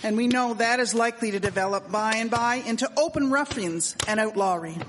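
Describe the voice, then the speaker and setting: A woman's voice: a little high, brisk, lightly acted. A woman playing an upright, concerned townswoman in a live radio drama. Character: concerned, upright townswoman worried about lawlessness. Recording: echoey hall, background noise and clicks.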